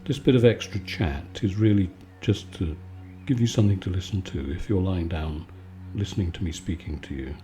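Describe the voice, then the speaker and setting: A man giving a calm, soothing, conversational bedtime chat in a relaxed ASMR style. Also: deep voice, normal speed, few pauses. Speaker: video maker and host.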